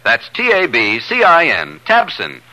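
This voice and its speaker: Very animated, forceful vintage radio announcer man. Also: fairly high pitch, a little slow, poor audio. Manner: emphatic, spells out words. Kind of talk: 1950s scripted radio ad announcing. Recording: vintage 1950s radio broadcast.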